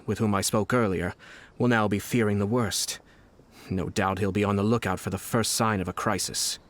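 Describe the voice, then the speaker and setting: An actor playing a calm, scheming young noble commissioner in a game, speaking in a measured, polite and persuasive way. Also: male voice, fairly low pitch, a little hiss or hum, close to an everyday voice.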